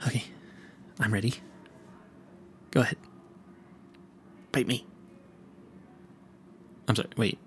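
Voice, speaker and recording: A man's voice: mid-range voice, fairly even intonation, a little hiss or hum, lightly acted. A man playing a nervous, smitten and clueless vampire hunter in an audio roleplay, stammering and correcting himself as he speaks to the listener. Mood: flustered, embarrassed. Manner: flustered, stammering, halting and self-correcting.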